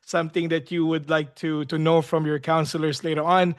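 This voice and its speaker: Male moderator, high pitch, friendly but halting, webinar host. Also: level tone. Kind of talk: hosting a live webinar Q&A.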